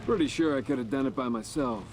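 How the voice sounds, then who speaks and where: An actor playing a bold, beleaguered army commander in a video game, projecting forcefully. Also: male voice, mid-range voice, quick pace, soft background music, natural voice. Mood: wry approval. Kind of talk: scripted game dialogue.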